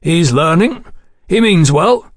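A man's voice: a little high, expressive, takes it slowly, slightly rough, no echo, clean studio sound, voice pushed up, heavily acted. A man voicing a keen, proud British engineer in an audiobook, earnest, technical and chummy. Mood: friendly. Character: keen, proud male British engineer explaining his machines.

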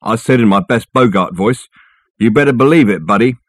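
A man's voice: lowish voice, fairly quick, slightly rough, no room sound, clean studio sound. A man voicing a short, wiry night porter in an audiobook, chatty and proud of his impressions, telling rambling tales. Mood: chatty, eager. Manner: eager, rambling storytelling.

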